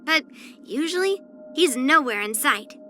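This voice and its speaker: A spirited young swordswoman from an anime-style game. Her voice is high, girlish and bright. She talks bluntly and earnestly, proud and a little pouty, with music playing behind her. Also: expressive, a little slow, exaggerated voice. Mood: matter-of-fact, confident. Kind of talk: character voice lines for an anime-style video game.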